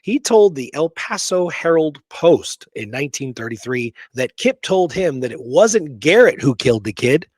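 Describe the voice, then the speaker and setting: A man co-hosting a casual history discussion, telling the story in an animated way with rhetorical questions. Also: fairly high pitch, normal speed, few pauses, husky, dry recording. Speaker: podcast co-host presenting history research.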